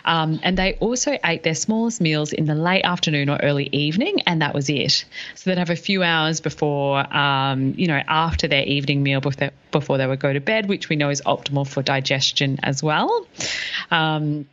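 A woman's voice: deep voice, moderate pace, fairly bright tone. A woman co-hosting a podcast, explaining things in a casual, conversational style on slightly lo-fi audio. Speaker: podcast co-host.

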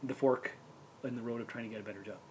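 A man's voice: medium pitch, steady tone, fast, slight background noise, low-quality recording. A man in his forties hosting a casual podcast chat with friends. He tells stories and laughs often. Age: 40s. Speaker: podcast host.

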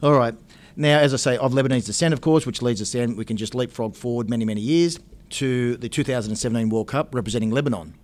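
A man's voice: mid-range voice, fast talker, a little mellow. Chatty male interviewer. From Australia. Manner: chatty, encouraging, tells anecdotes. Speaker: interviewer, host. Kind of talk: friendly interview chat.

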